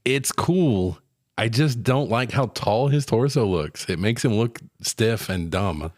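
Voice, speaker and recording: A man's voice: on the low side, animated, slow, darkish tone, no echo, studio quality. A man co-hosting a podcast, chatting casually and chiming in with short reactions. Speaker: podcast co-host.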